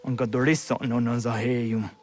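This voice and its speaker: A man's voice: medium pitch, little pitch movement, fairly quick, heavy background noise, lightly acted. An actor playing an earnest young man in a dramatic video game scene.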